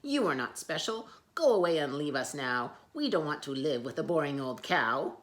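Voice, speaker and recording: A woman voicing unfriendly giraffes in a storybook, loud and dismissive. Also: low voice, very animated, normal speed. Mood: scornful.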